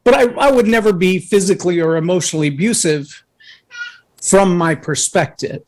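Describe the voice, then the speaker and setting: Man, talk show guest, earnest storyteller, booming when loud, fairly high voice. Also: normal speed. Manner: earnest, anecdotal, acts out others' words. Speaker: guest speaker.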